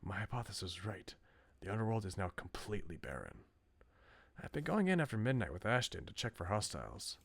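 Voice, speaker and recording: A man voicing an earnest, bookish researcher from a visual novel in a measured, formal, serious way. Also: fairly low pitch, big pitch swings, creaky, heavily acted. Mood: hushed, serious.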